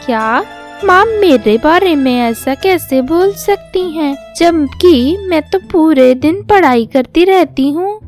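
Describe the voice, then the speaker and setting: A woman's voice: high-pitched, lively intonation, takes it slowly, darkish tone, soft background music, voice pushed up. A woman voicing a whiny, indignant young female student in a storybook family tale.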